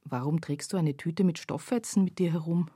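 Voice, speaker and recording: A reflective woman narrating a literary radio play. Her voice is low and mellow with a husky edge. She speaks deliberately, as if observing a huge painting. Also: no echo, studio quality. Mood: pensive, uneasy. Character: pensive female first-person narrator viewing a panorama.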